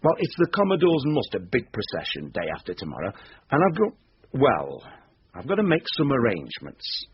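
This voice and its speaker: An actor playing a shady, blunt boss who likes running things, brusque and plain-spoken, in a radio drama. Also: male voice, medium pitch, huge pitch swings, moderate pace, husky, no echo, lo-fi sound, exaggerated voice. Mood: businesslike, commanding.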